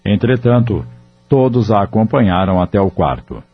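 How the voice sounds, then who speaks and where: A man narrating an audio drama in a measured, even storytelling delivery. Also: on the low side, slightly rough. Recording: dull lo-fi audio with soft music.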